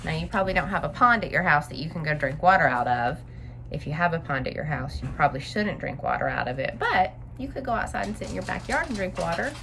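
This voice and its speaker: A woman's voice: fairly low pitch, quick pace, creaky, noisy background. A woman reading a picture book aloud to young children, adding simple explanatory asides as a story-time teacher.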